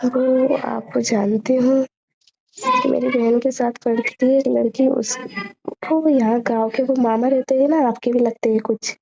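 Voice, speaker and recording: A woman's voice: a little high, steady tone, measured pace, gravelly, warm tone. A young woman chatting casually and personally on a phone call, often repeating her phrases, in a lo-fi recording.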